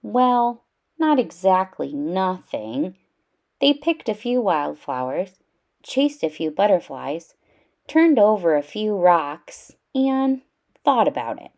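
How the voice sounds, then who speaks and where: A woman reading a children's story aloud in an expressive, animated storytelling style with clear emphasis. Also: mid-range voice, takes it slowly.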